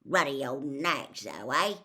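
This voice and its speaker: A woman voicing a huge, gruff but kindly half-giant man who talks bluntly and loudly. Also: rumbling low, sing-song, measured pace, exaggerated voice. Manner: blunt, loud and dismissive.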